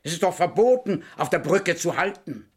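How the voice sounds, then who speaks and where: An actor playing a shrewd, needling middle-aged police inspector in a crime radio play, deliberate and slyly sympathetic. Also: male voice, high-pitched, animated. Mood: mock-sympathetic. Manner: sly, deliberate, feigned sympathy with pauses.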